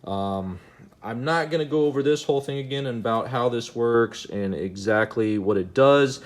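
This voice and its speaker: A man hosting a casual, unscripted demo video, speaking in a halting way and repeating himself. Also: mid-range voice. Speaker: host of a hobby gadget demonstration video. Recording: noticeable background noise.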